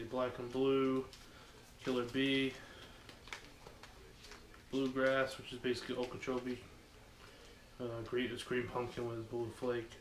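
A young man hosting a fishing channel, talking casually with lots of fillers as he unboxes gear in a small dim living room, recorded by a camera across the room. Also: mid-range voice. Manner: relaxed, rambling with many fillers. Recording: echoey room with background noise.

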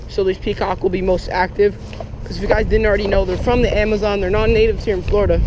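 A man's voice: sits high, background noise. Chatty young man fishing outdoors. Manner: chatty, excitable, lots of "like" and "oh my gosh". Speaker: fishing vlogger. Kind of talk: casual running commentary while fishing. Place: outdoors on a lake bank in Florida. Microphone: head-mounted action camera.

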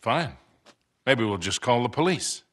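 An actor playing an unflappable middle-aged con man in a video game cutscene, husky, wry, needling and unhurried. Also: mid-range voice, lively intonation, fairly bright tone.

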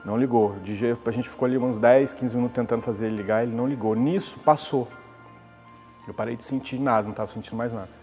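A man's voice: mid-range voice, steady tone, quick pace, darkish tone, in a large hall, soft background music. A man, recounting a personal story in a conversational interview. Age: 40s. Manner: conversational storytelling, with many restarts. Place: glass-walled lobby, indoors.

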